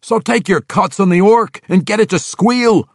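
A hotheaded young dwarf warrior, pitched well above the reader's own low voice and pushed loud. He taunts and bristles in a gruff brogue, the recording close and dry. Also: very animated, slow pace, dry recording, exaggerated voice. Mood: belligerent. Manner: belligerent, taunting. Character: brash young male dwarf warrior, hostile. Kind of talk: audiobook character voice.

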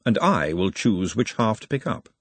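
Man acting urbane, wry, measured male ruler. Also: fairly low pitch, sing-song, no echo. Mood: wry, unruffled. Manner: measured, formal, dryly understated.

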